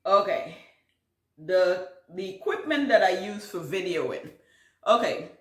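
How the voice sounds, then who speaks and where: Slow-talking Caribbean woman in a roomy echo. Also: lowish voice, animated. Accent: Guyanese Caribbean. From Guyana. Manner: chatty, rambling with many fillers and restarts. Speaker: gardening channel host. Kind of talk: casual Q&A vlog. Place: home.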